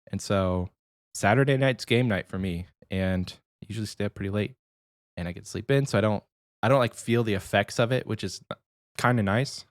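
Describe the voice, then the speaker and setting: Podcast co-host with a cold, slightly nasal man, low-pitched, rambling easy chat, dry close sound. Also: no echo. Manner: rambling, easygoing, many restarts.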